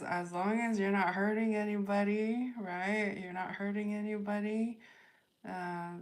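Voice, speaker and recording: A woman hosting a live tarot reading, speaking off the cuff in a halting way, thinking aloud and repeating phrases as she chats with viewers. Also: medium pitch. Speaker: tarot reader, livestream host. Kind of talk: spontaneous spiritual reading, chatting with live viewers.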